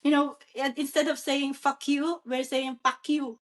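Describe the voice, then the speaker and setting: Giggly high-voiced Filipino woman. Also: flat delivery, measured pace, background noise. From Philippines. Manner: giggly, hesitant, searching for words. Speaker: guest comedian. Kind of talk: casual podcast chat.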